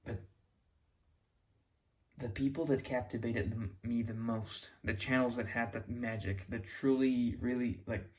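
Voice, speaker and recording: A man's voice: mid-range voice, a little mellow. A young man with a Mexican accent talking to camera in an unscripted, reflective ramble, often restarting and hunting for words. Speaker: YouTuber.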